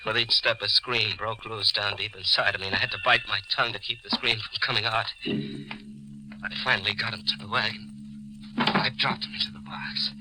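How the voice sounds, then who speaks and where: An actor playing a nervous, guilt-ridden hearse driver in a 1940s radio thriller, jittery and defensive. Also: male voice, on the low side, normal speed, natural voice. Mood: haunted narration. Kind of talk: 1940s radio thriller acting with first-person narration. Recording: noisy old 1940s radio recording.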